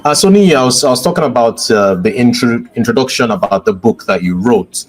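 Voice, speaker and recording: A man's voice: mid-range voice, lively intonation, fairly quick, husky. A man with a Nigerian accent hosting an interview in a polite, affirming, conversational way, often doubling his phrases. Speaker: interview host.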